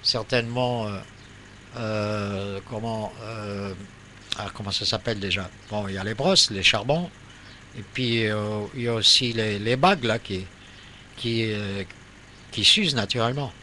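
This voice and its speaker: Male radio hobbyist, bright forceful voice, loose conversational rambling that hunts for words, faint background noise. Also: lowish voice, a little slow. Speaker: amateur radio operator. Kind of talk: casual radio chat.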